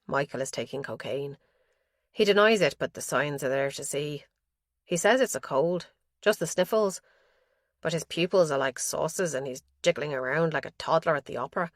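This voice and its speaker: A woman's voice: deep voice, expressive, moderate pace, no echo, clean studio sound. A woman voicing an elderly father who pours his fears about his son into a diary, anxious and guilt-ridden.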